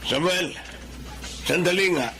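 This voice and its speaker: A man's voice: high-pitched, measured pace, loud music behind the voice. A man playing a scornful, stubborn Filipino father in a radio drama, scolding his grown child. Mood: scornful, nagging.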